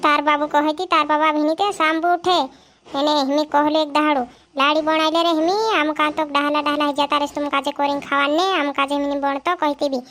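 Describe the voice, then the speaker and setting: Very high-pitched nagging village mother, played by a woman. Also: flat delivery, brisk, a bit bright. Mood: scolding. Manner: nagging, chiding. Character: scolding village mother nagging her son to marry. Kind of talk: cartoon comedy dialogue.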